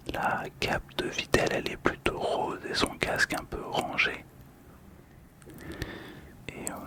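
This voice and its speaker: Whispering man, soft ASMR commentary. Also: very high-pitched. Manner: soft, calm, gentle whispering. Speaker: host of a manga card ASMR channel.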